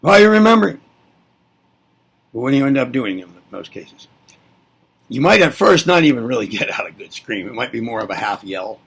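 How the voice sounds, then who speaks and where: Gravelly, musing male lesson presenter. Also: medium pitch, very animated, brisk, long pauses, a bit bright. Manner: musing, repeats himself, asks and answers rhetorical questions. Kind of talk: reflective spoken lesson.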